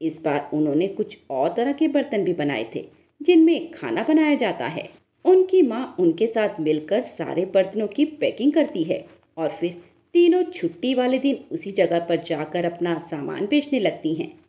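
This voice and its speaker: A woman's voice: mid-range voice, sing-song, normal speed, warm tone. A woman narrator telling a scripted moral story in a storytelling style.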